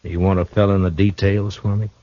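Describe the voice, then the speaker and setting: An actor playing a steady, shrewd frontier marshal in a 1950s radio drama, speaking in a calm, dry, measured way. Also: male voice, deep voice, no room sound, natural voice. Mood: calm, probing. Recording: dull old radio broadcast recording.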